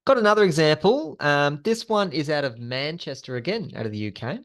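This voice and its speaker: A man's voice: a little high, lively intonation, fairly quick. A man presenting a slide-based training session as an instructor, explaining things in an informal, conversational way.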